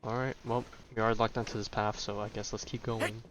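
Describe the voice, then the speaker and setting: A man's voice: fairly low pitch, little pitch movement, a little fast, a little hiss or hum. A man giving casual gameplay commentary with wry, joking asides. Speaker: game streamer. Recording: dull lo-fi microphone sound. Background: game music.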